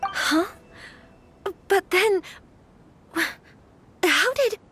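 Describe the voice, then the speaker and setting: A young lady of noble birth in a video game, with a high, delicate voice. She speaks slowly and politely, hesitant and gentle, over background music. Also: lively intonation, full character voice. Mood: puzzled.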